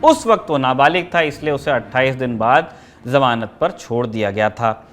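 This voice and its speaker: A man's voice: mid-range voice, lively intonation, moderate pace. A man hosting a news show, delivering scripted commentary in a formal, emphatic, rhetorical manner.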